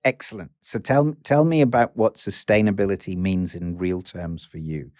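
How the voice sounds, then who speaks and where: A man's voice: low-pitched, fairly even intonation, normal speed, darkish tone, dry recording, clean studio sound. A man hosting an interview, encouraging his guest and often restarting his questions.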